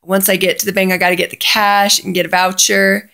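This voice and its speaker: A woman's voice: mid-range voice, level tone, fairly quick, creaky, sharp tone. A woman casually telling a personal story in a chatty way, often quoting both sides of a conversation.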